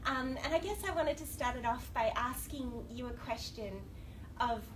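High-voiced Australian woman with a cold. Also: moderate pace. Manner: laughing often, self-deprecating, chatty. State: has a cold. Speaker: academic panel speaker. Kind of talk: conference talk with audience banter. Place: lecture room. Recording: distant, noisy room recording.

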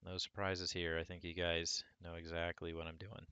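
A man with a hoarse voice from a cold, talking through a sculpting tutorial step by step in a relaxed way. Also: low-pitched, moderate pace, no echo, dull audio. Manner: relaxed, talks through each step as he works. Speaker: 3D sculpting instructor. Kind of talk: screen-recorded software tutorial.

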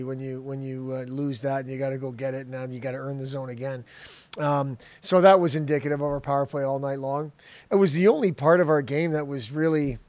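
A middle-aged man, a head hockey coach, answering at a post-game press conference, halting and repeating words but blunt. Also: fairly high pitch, no room sound. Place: press room backdrop. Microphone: podium mic.

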